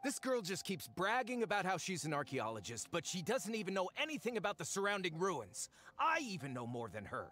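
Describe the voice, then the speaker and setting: An actor playing a greedy, self-important fake archaeologist in an anime game, pompous, hedging and excitable. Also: male voice, sits high, fairly quick, few pauses, faint music behind, full character voice. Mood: scornful. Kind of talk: anime video game voice acting.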